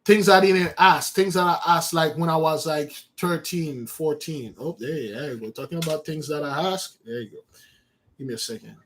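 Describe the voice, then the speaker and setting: A man with as his giving an informal spiritual talk to his followers, animated, repeating words and calling out to his listeners. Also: on the high side, normal speed. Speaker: spiritual teacher.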